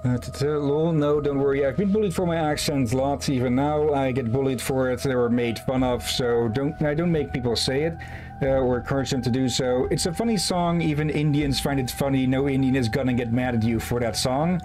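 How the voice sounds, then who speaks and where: A man's voice: mid-range voice, brisk. Easygoing man commenting on a game. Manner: relaxed, chuckling storyteller. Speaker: streamer playing a game. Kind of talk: casual gameplay commentary. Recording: game music behind.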